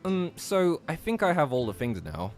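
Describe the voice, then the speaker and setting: A man's voice: a little high, very animated, normal speed. A young adult man from Australia chatting casually on a game stream with friends and reading aloud. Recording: music behind the voice.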